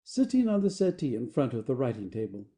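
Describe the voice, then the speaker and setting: A man reading the stage directions of an audio play as expressive scripted narration. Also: medium pitch, fast talker.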